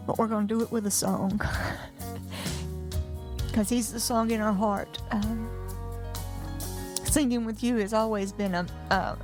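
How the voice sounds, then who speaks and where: A woman in her forties, a singer and author, speaking with earnest, heartfelt warmth and some gentle teasing as a radio guest. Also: mid-range voice. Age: 40s. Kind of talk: casual radio interview.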